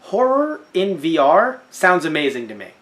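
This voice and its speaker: A young man co-hosting a casual podcast, animated and jokey, slipping into silly voices. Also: on the high side, normal speed. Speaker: podcast co-host. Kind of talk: casual chat.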